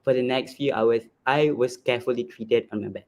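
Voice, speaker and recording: Young adult male, average pitch. Student storyteller reading a written first-person narrative. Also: steady tone, brisk, darkish tone.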